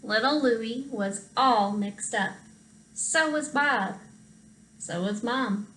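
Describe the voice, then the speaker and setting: Lady doing storytime for kids, expressive, kinda high voice, slow, roomy echo. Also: a little hiss or hum. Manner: expressive, addresses children directly. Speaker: storytime reader, teacher. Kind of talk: picture-book read-aloud for children.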